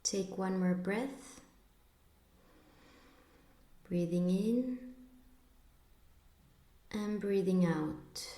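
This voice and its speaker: A woman narrating a guided meditation in a measured, instructive way, pausing between short phrases. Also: medium pitch, mellow, echoey room.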